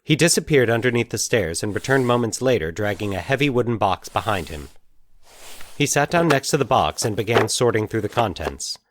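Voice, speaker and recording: A man's voice: lowish voice, animated, a little fast, slight background noise. A man reading scripted fiction as the narrator of a story.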